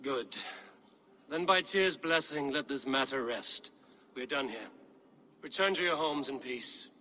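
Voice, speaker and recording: A man playing a stern, commanding Viking clan leader in a video game, speaking in a firm, measured, authoritative way. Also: medium pitch, bright, forceful tone, low-quality recording. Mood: stern, judicial. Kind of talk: dramatic video game acting.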